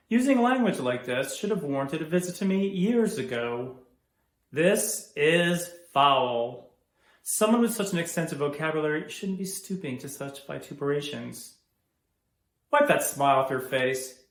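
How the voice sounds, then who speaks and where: A man's voice: medium pitch, a little mellow. A man acting a stern, threatening, old-school principal with a clipped, authoritarian manner in a monologue. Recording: echoey room.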